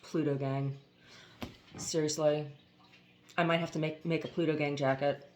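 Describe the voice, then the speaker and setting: A woman reading a novel aloud, pausing between chapters for relaxed, chatty comments. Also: deep voice, a little hiss or hum. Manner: expressive reading, laughs at funny passages. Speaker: audiobook reader and channel host.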